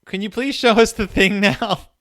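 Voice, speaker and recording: Man putting on pleading fairy-tale children, higher-pitched. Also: moderate pace, sharp tone, no echo, clean studio sound.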